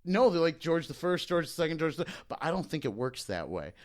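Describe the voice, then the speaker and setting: A man with a high voice that swings animatedly up and down. He banters casually as a podcast co-host, cursing freely and playing out silly hypotheticals. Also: sing-song, brisk. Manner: profane, playfully spins hypothetical scenarios.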